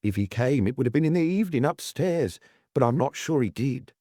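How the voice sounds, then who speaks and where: A man's voice: medium pitch, lively intonation, brisk, mellow, no room sound, studio quality, voice pushed up, natural voice. A man voicing a nervous, cautious male bookkeeper who gives guarded answers in an audiobook. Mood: guarded, uneasy. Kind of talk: audiobook character dialogue.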